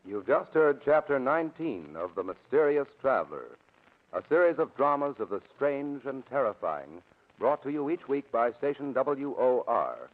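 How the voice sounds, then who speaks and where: A man's voice: mid-range voice, very animated, normal speed. A male announcer reads scripted credits in a formal, polished 1940s radio manner. His mid-range voice comes through a tinny, very narrow old broadcast recording.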